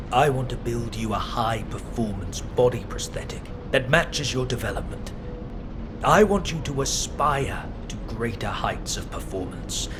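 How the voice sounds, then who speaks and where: A man voicing a cool, measured scientist mentor. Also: fairly low pitch, close to an everyday voice. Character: calm male scientist mentoring a cyborg soldier. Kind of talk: game cutscene voice acting. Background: game music.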